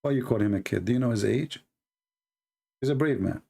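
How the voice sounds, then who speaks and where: A man's voice: medium pitch, brisk, gravelly, mellow, slightly rough. A man with an Arabic accent, a native Arabic speaker from the Middle East, hosting a talk show in blunt, mocking casual commentary with broken English grammar. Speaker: debate show host.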